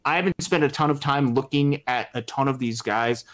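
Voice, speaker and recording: A man co-hosting a sports podcast, explaining things in a measured, earnest way in casual commentary. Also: mid-range voice, a bit bright.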